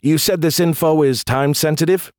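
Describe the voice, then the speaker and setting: A man's voice: fairly high pitch, brisk, a little mellow, dry recording, studio quality, pitched above the performer's own voice, lightly acted. A man voicing an irreverent, sarcastic military commander in an audiobook, with a casual, teasing way of talking. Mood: curious. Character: wisecracking, irreverent male military commander of space pirates. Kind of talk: audiobook character dialogue.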